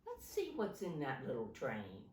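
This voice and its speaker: A woman reading a picture book aloud to young children like a teacher, in simple deliberate phrases, pausing to point things out. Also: rumbling low, huge pitch swings, a little mellow, a little hiss or hum. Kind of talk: animated children's storybook read-aloud. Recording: roomy echo, distant microphone.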